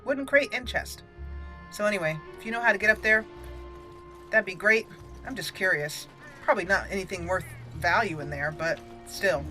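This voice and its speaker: A woman's voice: medium pitch, sharp tone, low-quality recording. Chatty woman narrating gameplay, music behind. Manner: chatty, thinking aloud. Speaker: gaming channel host, let's play commentator. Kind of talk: casual gameplay commentary. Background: game music.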